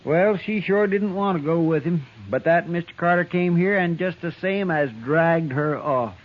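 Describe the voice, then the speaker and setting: An earnest marshal's sidekick, played by a man speaks in a fairly high voice, folksy, respectful and a little fretful. It is 1950s radio western acting on a muffled old recording. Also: normal speed, close to an everyday voice. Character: earnest, deferential, folksy lawman's helper in frontier town.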